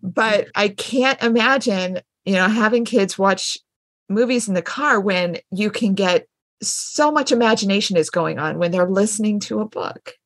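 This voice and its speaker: A woman's voice: mid-range voice, moderate pace, dry recording. A middle-aged woman hosting a casual interview chat. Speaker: podcast host.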